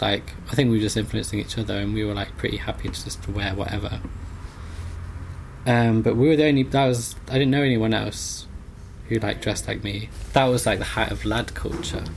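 A man chats reflectively as an interview guest, rambling and halting as he goes. His voice has a gravelly fry to it. There is a light layer of background noise behind him. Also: lowish voice, steady tone. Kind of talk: casual reflective conversation.

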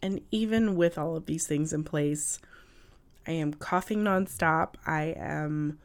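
Female podcast host, deep register, unhurried delivery; raspy, hoarse with a cold, conversational and halting. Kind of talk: casual solo podcast talk. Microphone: cough drops in mouth, podcast recording.

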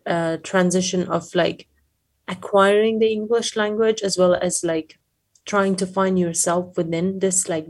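A woman with an Afghan accent and Persian as her first language answers interview questions. Her voice is fairly low and stays quite flat in melody. She speaks reflectively and hesitantly, searching for the right words. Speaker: poet, interview guest.

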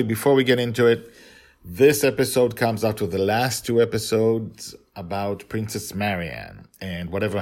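Low-voiced man narrating a story. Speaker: host, author and narrator.

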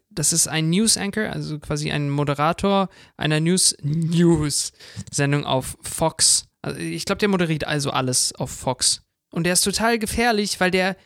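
A man's voice: a little high, vocal fry, fairly bright tone, no echo, studio quality. A man co-hosting a podcast in casual chat. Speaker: podcast co-host.

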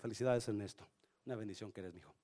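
A male pastor with a Spanish accent, preaching a sermon that switches between Spanish and English and swings from quiet to shouting. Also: fairly low pitch, quick pace. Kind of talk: bilingual sermon.

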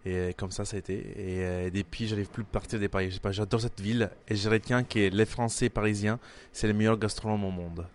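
Young chef speaking French, low voice. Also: male voice, steady tone, a little fast, slight background noise. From Italy, grew up in Milan. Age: 20s. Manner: hesitant, many fillers and restarts. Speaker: chef and restaurant owner. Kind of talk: casual interview answers.

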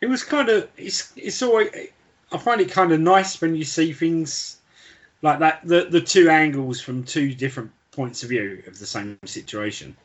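A man's voice: on the high side, expressive, moderate pace. A man co-hosting a podcast, casually talking through a book with another person. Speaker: podcast co-host.

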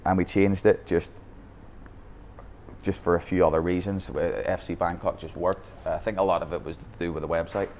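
Deep-voiced Northern Irish football coach. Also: male voice, steady tone, brisk, a little mellow, a little hiss or hum. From Northern Ireland. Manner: candid storytelling with many restarts. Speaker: youth football academy founder. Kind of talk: podcast interview. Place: Bangkok.

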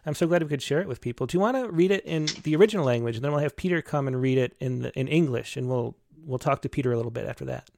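A man's voice: mid-range voice, very fast, creaky, hardly any pauses, darkish tone, no echo. A man hosting an interview, reacting with sympathy and asking his guest questions. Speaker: interviewer host. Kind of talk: interview conversation.

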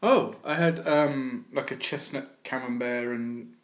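A man hosts a casual podcast, wandering through self-mocking stories with frequent laughter. His voice sits at a middle pitch. The recording has a roomy echo. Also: a little slow. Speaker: podcast host. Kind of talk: casual chat.

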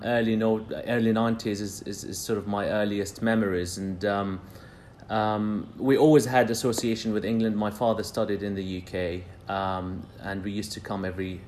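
Low-voiced Palestinian man, halting podcast guest. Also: darkish tone, a little hiss or hum. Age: 30s. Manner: hesitant, many fillers and restarts. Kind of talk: casual podcast interview.

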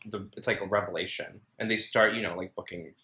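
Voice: deep voice, steady tone, quick pace, sharp tone, roomy sound. A host interviewing a singer in a bubbly, giggly way, with many fillers. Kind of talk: casual interview chat.

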